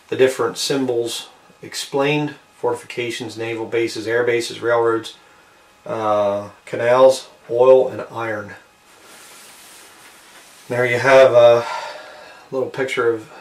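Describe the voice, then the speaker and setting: A man giving a casual show-and-tell narration, unhurried and halting, often trailing off between short phrases. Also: medium pitch, steady tone. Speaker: amateur video maker showing a collectible. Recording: roomy, distant mic with faint background noise.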